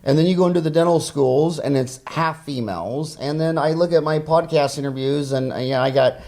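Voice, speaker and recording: Halting man interviewing on a podcast. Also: on the high side, a little mellow. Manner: halting, repeats phrases, anecdotal. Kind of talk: conversational interview. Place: dining room at home.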